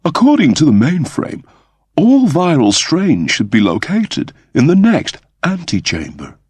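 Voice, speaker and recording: Man voicing an earnest, high-pitched android. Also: wildly swooping pitch, a little slow, a little mellow, slightly rough, no room sound, exaggerated voice. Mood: earnest, precise. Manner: formal, precise, explanatory. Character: earnest, precise male android aboard a spaceship.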